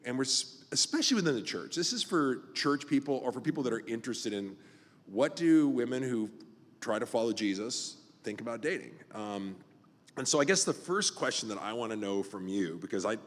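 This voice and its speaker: A man in his fifties hosts a relaxed podcast talk as a pastor. He wanders through his thoughts in an easy, filler-heavy way, his voice sitting at an ordinary middle pitch. A long reverb trails behind him in the room. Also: a little fast. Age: 50s. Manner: rambling, loose, lots of fillers. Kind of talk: casual podcast conversation.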